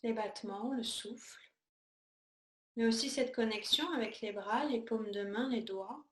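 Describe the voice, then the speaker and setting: A woman teaching yoga, guiding a meditation in a calm, soothing, unhurried way with pauses between short phrases. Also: fairly high pitch. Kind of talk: guided yoga and meditation instruction. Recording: echoey room sound.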